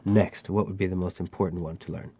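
A man chats casually as a podcast host, spinning anecdotes and laughing as he goes. His voice sits very low and sounds close and dry. The sound is a bit dull. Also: level tone, quick pace, slightly rough, dry recording. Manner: chatty, storytelling, laughs while telling. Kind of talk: casual chat about learning Chinese.

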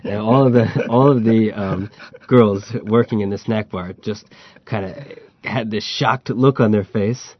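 A man chats casually as a podcast host, spinning anecdotes and laughing as he goes. His voice sits very low and sounds close and dry. The sound is a bit dull. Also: measured pace, few pauses, no echo. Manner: chatty, storytelling, laughs while telling. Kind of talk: casual chat about learning Chinese.

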